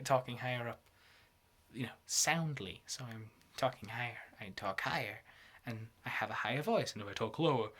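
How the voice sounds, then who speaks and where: A man's voice: medium pitch, a bit bright. A man vlogging alone, rambling and laughing as he slips in and out of put-on voices and accents. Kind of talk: rambling solo vlog.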